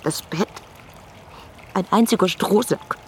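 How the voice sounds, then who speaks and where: An actress playing a passionate, romantic young woman in a literary radio play, speaking intimately and poetically to her beloved in a husky, breathy voice. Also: mid-range voice, slow pace, slight background noise, lightly acted. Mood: intimate, playful.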